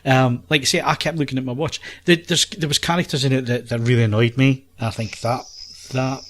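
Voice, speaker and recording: A man's voice: medium pitch, fairly even intonation, a little fast, a bit bright. Hesitant man in casual podcast chat. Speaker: podcast film reviewer.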